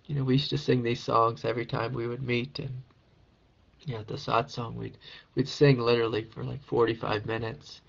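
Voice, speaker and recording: A man with a raspy voice, a meditation teacher answering a question in a calm, encouraging spiritual talk. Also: medium pitch, brisk, highs cut off. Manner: gentle, calm and encouraging, with soft laughs.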